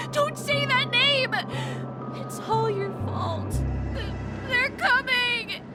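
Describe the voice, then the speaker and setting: A man's voice: drawn-out words, over loud music, full character voice. An actor playing a panicked young man who believes he has died, frantic and crying, in a game cutscene. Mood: terrified. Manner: frantic, shrill, tearful pleading. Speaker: game character. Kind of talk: dubbed game cutscene acting.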